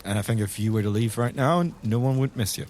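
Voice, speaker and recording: A man's voice: medium pitch, quick pace, a little mellow, slight background noise, natural voice. A man playing a blunt, probing investigator in improvised tabletop roleplay, pressing with repeated questions. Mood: cold, blunt.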